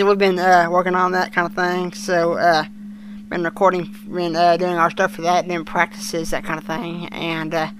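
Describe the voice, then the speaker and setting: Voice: mid-range voice, a bit bright, low-quality recording. A young podcast co-host chatting casually and giving opinions in an informal discussion. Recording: faint background noise.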